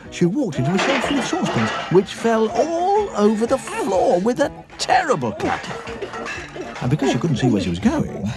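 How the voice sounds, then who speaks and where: A man narrating a children's cartoon story in an expressive storybook style. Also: sits high.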